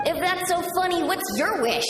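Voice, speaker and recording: Echoey, very high, eager child. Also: female voice, expressive, normal speed. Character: eager, hungry, good-natured small child. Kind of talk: video game voice acting. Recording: echoey, distant flashback reverb over music.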